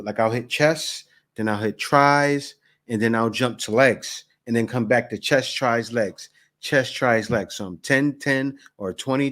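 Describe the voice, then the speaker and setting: A man's voice: mid-range voice, a bit bright. A man in his forties giving loose, conversational reaction commentary and repeating himself. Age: 40s. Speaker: host reacting to a video.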